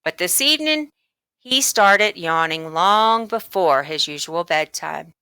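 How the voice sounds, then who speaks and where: A woman reading a children's book aloud as expressive, deliberate scripted narration. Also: lowish voice, smooth, no echo, studio quality. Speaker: storybook reader.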